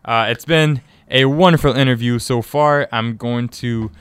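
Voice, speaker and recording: A man from East Africa hosts a radio interview in a clear, smooth voice. He is conversational and effusive, doubling up words and phrases for emphasis. The sound is dry and close, as in a studio. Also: mid-range voice, a little slow, no room sound. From East Africa (Tanzania and Kenya). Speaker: radio show host and interviewer.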